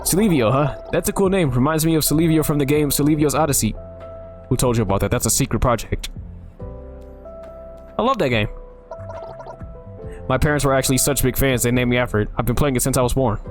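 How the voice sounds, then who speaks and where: A man voicing a calm, shy young male cyborg ninja in a dating game, speaking softly and earnestly. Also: medium pitch, brisk, long pauses, faint music behind, close to an everyday voice. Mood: friendly.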